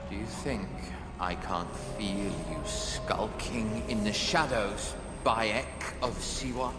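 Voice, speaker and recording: A man's voice: on the low side, steady tone, slow, reverberant, in a large hall, heavy background noise. An actor playing a ruthless, boastful Roman general who taunts his enemy with grandiose sneering. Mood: menacing. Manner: taunting, grandiose, swings to shouting.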